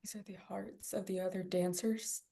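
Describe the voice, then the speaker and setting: A woman's voice: mid-range voice, flat delivery, moderate pace, gravelly, mellow, natural voice. A woman playing a frightened young dancer held captive in a horror script read. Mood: disgusted.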